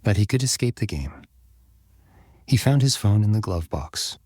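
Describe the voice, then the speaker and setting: A man's voice: on the low side, animated, normal speed, darkish tone, dry recording, clean studio sound. A man reading scripted fiction aloud as a dramatic audiobook narrator.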